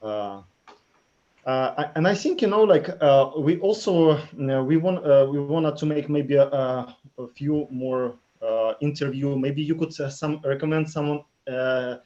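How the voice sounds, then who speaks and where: A man with a Russian accent, Russian native, co-hosting a casual interview, speaking haltingly with many fillers. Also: on the high side, dark tone. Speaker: co-host. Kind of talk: casual video-call interview. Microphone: video call. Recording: lo-fi video call audio.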